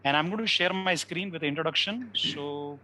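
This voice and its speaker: A man's voice: on the high side, brisk, bright, forceful tone, poor audio. A man with an Indian English accent hosting an online event, handing over to his co-host with some hesitation. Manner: hesitant, many uh fillers. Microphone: video call.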